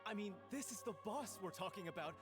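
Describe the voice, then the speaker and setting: An actor playing a nervous, cornered guard in a video game, hesitant and anxious. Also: male voice, high-pitched, lively intonation, a little fast. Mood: nervous, pleading. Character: nervous cornered guard confessing his crimes. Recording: game music clearly behind.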